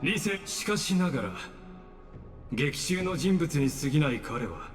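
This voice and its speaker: Coldly courteous scheming mastermind in a reverberant space. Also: male voice, fairly high pitch, sing-song, soft background music. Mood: smug, composed. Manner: formal, polite, measured, condescending. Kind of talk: Japanese anime-style game voice acting.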